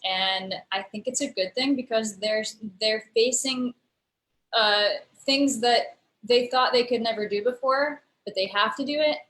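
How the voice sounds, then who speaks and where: A woman's voice: fairly high pitch, unhurried, a bit bright, reverberant. A woman, a guest on a remote interview, explaining her work at length, thoughtful and full of fillers, heard over a video call. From United States. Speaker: guest, digital storyteller and musician. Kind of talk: casual interview conversation.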